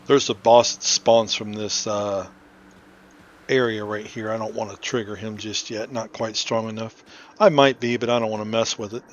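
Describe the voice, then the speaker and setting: A man's voice: on the low side, fairly even intonation, normal speed. A man giving casual, explanatory commentary as he hosts a game playthrough. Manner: informal, explanatory. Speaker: gaming video host. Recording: slightly dull audio, faint noise.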